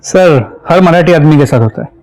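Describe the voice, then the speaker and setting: A man's voice: a little high, normal speed, no echo, close to an everyday voice, poor audio. An actor playing a police subordinate in a Hindi TV crime drama, briefing his boss in a deferential, matter-of-fact way. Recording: loud dramatic music under dialogue.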